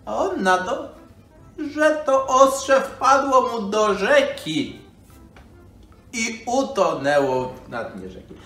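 Man playing a rambling, animated old storyteller. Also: high-pitched, slow, full character voice. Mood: animated recounting. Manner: folksy, hesitant, with sighs and filler phrases. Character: rambling old storyteller, once a prophet's pupil, nostalgic. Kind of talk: in-character storytelling for children. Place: living room by a fireplace. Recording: roomy, distant microphone, music behind.

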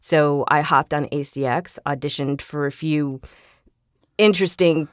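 Woman, slightly low voice, casual interview talk, chatty, dry close recording. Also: fairly even intonation, unhurried, no room sound. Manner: chatty, laughs easily while talking. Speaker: podcast guest, voice actor.

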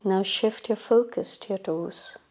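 A woman yoga instructor leading a guided relaxation meditation in a calm, soothing, instructional manner, leaving long pauses between phrases. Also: mid-range voice.

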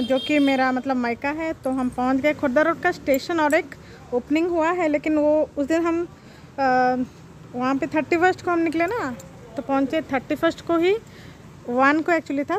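High-voiced chatty woman vlogger. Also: slight background noise. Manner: chatty, excited, addresses viewers directly. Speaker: travel vlogger. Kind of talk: casual vlog voice-over.

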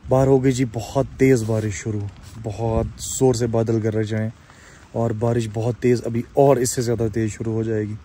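A man's voice: medium pitch, flat delivery, normal speed, warm tone. A young man, talking casually to camera and companions as a camping vlogger. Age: young adult. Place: outdoor campsite and inside a tent. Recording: noisy outdoor phone recording. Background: clear ambient outdoor noise.

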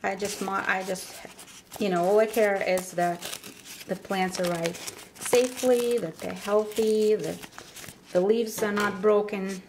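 A woman giving casual unboxing commentary as a vlogger, speaking haltingly in short phrases with pauses, recorded indoors at a table. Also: medium pitch, steady tone. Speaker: vlogger presenting her plant unboxing. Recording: background noise with paper crinkling and clicks. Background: crumpling paper packaging.

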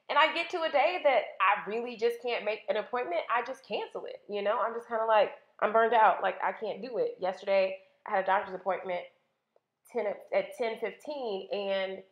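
Woman in her thirties, podcast host, chatty, candid, roomy echo, dull sound. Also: medium pitch. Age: 30s. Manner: chatty, candid, uses filler "like" and "um". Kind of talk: casual solo podcast monologue.

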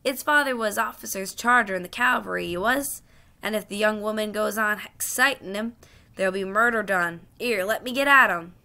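Lady putting on a cockney cab driver from a storybook, rough but kindly bloke, bright creaky voice. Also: mid-range voice, moderate pace. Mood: urgent. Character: rough, plain-spoken, kindly male London cabby. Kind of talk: dramatised children's storybook reading.

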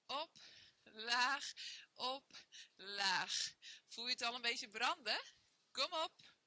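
A woman's voice: fairly high pitch, expressive, very slow, bright, forceful tone, dry recording. A young woman leading a workout class, counting reps and cheering on her students while she exercises along in a bare indoor room. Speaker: fitness trainer. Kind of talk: guided workout instruction.

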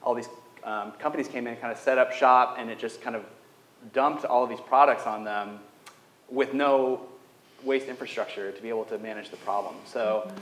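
A man's voice: mid-range voice, steady tone, normal speed, a bit bright. A young man on a panel, answering earnestly and thoughtfully with frequent ums. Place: large wood-paneled lecture hall. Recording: roomy hall sound, faint ambience.

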